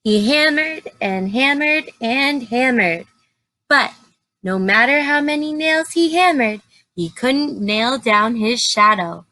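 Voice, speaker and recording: Bright, slow-paced woman reading to children. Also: a little high, rough recording. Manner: expressive, deliberate, explains and asks simple questions. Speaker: teacher reading aloud to young children. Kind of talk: children's storybook read-aloud with asides and questions to listeners.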